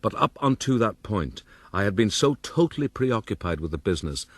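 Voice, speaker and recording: A suave, self-regarding rogue tells his tale in a radio play. His voice is deep and husky, his delivery wry and florid. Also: very animated, fairly quick, fairly bright tone, full character voice. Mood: wry recollection. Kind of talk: radio drama acting and narration.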